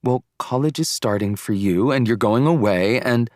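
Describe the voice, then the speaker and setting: A man's voice: medium pitch, moderate pace, a little mellow, dry recording, studio quality, voice pushed up, natural voice. A man voicing a hurt, accusing teenage girlfriend in an audiobook.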